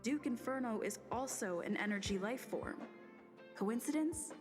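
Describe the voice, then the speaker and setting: An actress playing a thoughtful, analytical investigator in a video game. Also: female voice, fairly high pitch, animated, moderate pace, over music, lightly acted. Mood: pensive.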